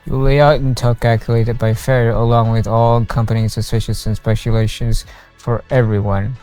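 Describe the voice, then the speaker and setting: A man's voice: mid-range voice, level tone, moderate pace, a little mellow, soft background music. A man streaming a game, reading its dialogue aloud and reacting to it.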